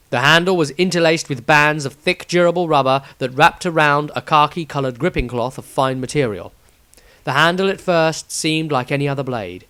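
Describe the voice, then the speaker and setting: A man narrating a scripted fantasy story in a deliberate, expressive storytelling manner. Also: medium pitch, dry recording. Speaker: author reading his own story, narrator.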